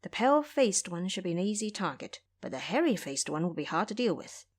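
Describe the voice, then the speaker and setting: A woman's voice: lowish voice, big pitch swings, brisk, husky. A woman playing a cunning, calculating junior male monk who speaks persuasively in an audio drama.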